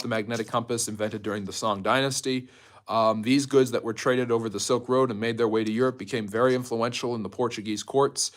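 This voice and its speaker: A man speaks in a mid-pitched voice as the host of a casual history live stream. He is chatty and informal, moving between explanation and replies to viewers in the manner of an off-the-cuff lecture. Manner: chatty, jumps between topics, reads out and answers viewer comments.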